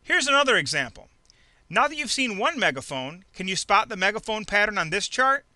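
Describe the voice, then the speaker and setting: A man reading a scripted instructional narration in a clearly enunciated, measured, teacherly way. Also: high-pitched, big pitch swings, bright, forceful tone, no echo. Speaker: narrator of an instructional trading video. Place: close dry voice-over recording.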